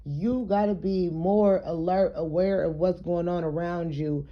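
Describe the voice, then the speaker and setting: A woman with a raspy, slightly hoarse voice, chatting casually to her followers in a home vlog while she does her hair, loose and streetwise with plenty of fillers. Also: fairly low pitch, fairly even intonation, unhurried, highs cut off. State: hoarse, throat congested. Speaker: vlogger.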